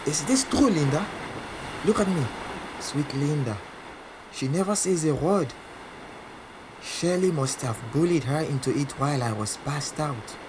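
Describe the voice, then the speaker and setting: A proud, volatile champion boxer. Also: male voice, a little high, animated, a little slow, pauses often, noisy background. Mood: agitated, angry. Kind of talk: audio drama acting with confiding first-person narration.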